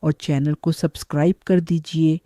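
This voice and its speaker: A man's voice: on the high side, little pitch movement, moderate pace, mellow, dry recording, clean studio sound. A man reading a scripted, moody horror introduction as narrator.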